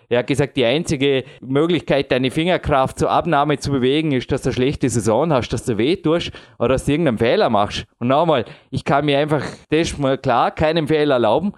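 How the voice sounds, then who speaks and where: A man's voice: mid-range voice, fairly quick, few pauses. A man in his late thirties talking at length in a casual chat, rambling and self-deprecating with frequent chuckles. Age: 30s. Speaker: podcast host and competitive climber.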